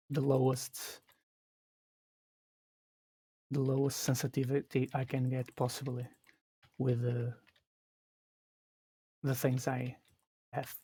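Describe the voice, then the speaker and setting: Flat-voiced Portuguese man streaming. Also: mid-range voice, breathy edge, dry recording. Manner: halting, restarts phrases while explaining. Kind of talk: live gameplay commentary.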